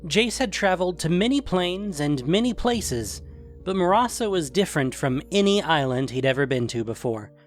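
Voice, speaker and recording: A man's voice: on the high side, animated, moderate pace. Male fantasy story narrator over faint music.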